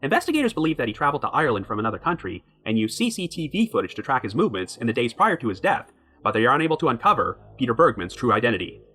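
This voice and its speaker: Lively, fast-talking male narrator. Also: mid-range voice. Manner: reads a prepared script in an even, measured way. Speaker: podcast host. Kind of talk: scripted true crime narration.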